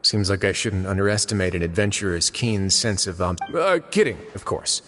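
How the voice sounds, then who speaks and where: A suave duke from an anime game, with a low voice. He talks in a measured, dryly amused and self-possessed way, with music clearly playing behind him. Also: lively intonation, fairly bright tone. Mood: wry, evasive. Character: suave, composed, wry duke who runs a prison fortress. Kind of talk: anime video game voice acting.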